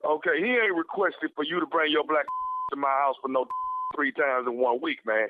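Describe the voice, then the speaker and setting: Man performing suspicious, aggrieved husband for prank call, high voice, phone-filtered. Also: fairly even intonation, normal speed, dry recording, close to an everyday voice. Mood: angry, accusing. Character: indignant suspicious husband confronting a church deacon. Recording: tinny phone line.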